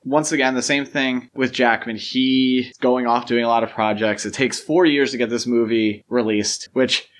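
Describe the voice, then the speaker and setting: Casting: male podcast host, mid-pitched, casual and opinionated film talk, explains at length. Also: steady tone, moderate pace, few pauses.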